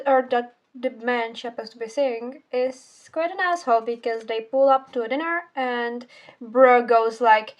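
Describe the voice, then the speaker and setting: A teenage girl whose first language is Czech, casually reviewing a book in a rambling, self-correcting way with casual swearing. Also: a little high. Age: teens. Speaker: book vlogger.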